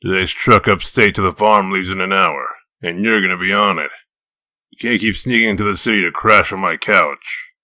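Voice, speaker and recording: A man playing a gruff, humorless wolf-man detective in a comic dub, curt, stern and deadpan. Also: low-pitched, moderate pace, no echo. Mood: impatient. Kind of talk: comic dub character acting.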